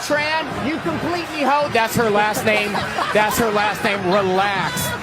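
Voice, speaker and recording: Very high-voiced man over loud crowd, music. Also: a little slow.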